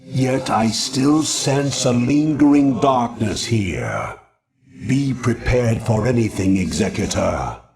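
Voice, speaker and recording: A man's voice: mid-range voice, expressive, slow pace, vocal fry, a crowd in the background. A man voicing a stern, haughty alien judicator in a video game, speaking in formal, pompous declamation. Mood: grave, wary.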